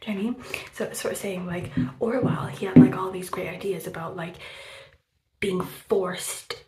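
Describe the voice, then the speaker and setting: A woman chatting casually about a book on her vlog. She rambles with many fillers, swears freely and often drops into a breathy half-whisper. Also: on the low side, normal speed. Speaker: book vlogger. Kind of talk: casual book review chat. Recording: roomy room echo, faint background noise.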